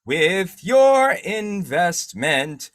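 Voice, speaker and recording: An actor voicing a solemn ghostly messenger at a seance in a live old-time radio drama. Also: male voice, sits high, big pitch swings, extremely slow, a bit bright, no echo, studio quality, heavily acted.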